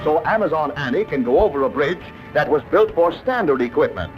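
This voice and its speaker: A man's voice: a little high, big pitch swings, fairly quick. An American man reading scripted 1950s newsreel narration with emphatic, announcer-style delivery. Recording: dull old newsreel soundtrack, prominent music. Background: newsreel music.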